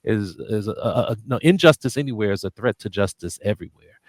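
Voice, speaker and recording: A man's voice: fairly low pitch, animated, fairly quick, dry recording, clean studio sound. A man hosting a radio interview, asking questions hesitantly with many fillers and repeated words. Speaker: radio show host, interviewer.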